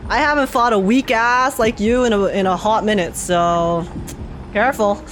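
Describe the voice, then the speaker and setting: A woman gaming streamer giving casual, slangy, excitable reaction commentary, often repeating phrases for emphasis. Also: medium pitch, normal speed, a little hiss or hum.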